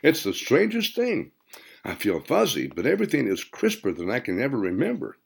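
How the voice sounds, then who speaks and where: A man's voice: mid-range voice, huge pitch swings, brisk, creaky, husky, heavily acted. A man voicing a puzzled, curious woman in an audiobook, hesitant and questioning. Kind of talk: audiobook character dialogue.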